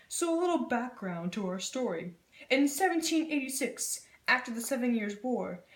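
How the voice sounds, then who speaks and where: A young woman narrating a scripted educational puppet show in an informal, chatty explaining way. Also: a little high. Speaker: student presenter. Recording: echoey room, slightly distant mic.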